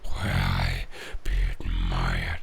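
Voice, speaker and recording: A man voicing a weary, melancholic dying werewolf in an audio drama, speaking slowly and solemnly in a gravelly, breathy growl. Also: a bass voice, monotone, full character voice. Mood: bitter, melancholic. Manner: slow, solemn, poetic. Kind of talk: slow dramatic audio roleplay monologue.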